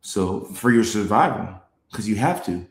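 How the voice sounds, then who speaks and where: A man's voice: lowish voice, measured pace, echoey room. A man who grew up in Norway, hosting a show and explaining things in casual talk, acting out quoted voices and checking in with his listeners. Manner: animated, acts out quoted voices, repeats phrases, checks in with "right?". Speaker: show host. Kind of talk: casual explanatory talk, reading chat comments.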